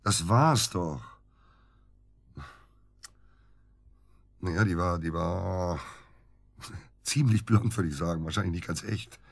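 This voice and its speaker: Radio drama evasive male doctor under suspicion: deep, husky, hesitant, very dry recording. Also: no room sound, heavily acted. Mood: weary, groping. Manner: halting, hesitant, defensive. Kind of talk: naturalistic German radio drama acting.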